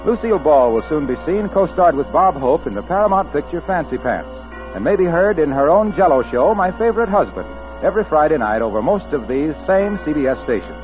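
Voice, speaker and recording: A man's voice: fairly high pitch, animated, a little fast. An American man announcing in polished, emphatic 1940s radio commercial style. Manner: polished, emphatic salesman delivery. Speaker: announcer and sponsor pitchman. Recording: tinny 1940s radio recording, faint music.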